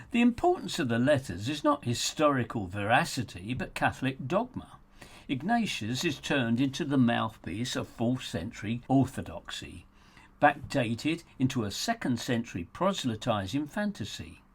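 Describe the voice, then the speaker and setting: Guy reading an audiobook, kinda husky, really lively pitch, dry sardonic storyteller thing with lots of emphasis. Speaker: author narrating own audiobook. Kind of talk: scripted audiobook narration.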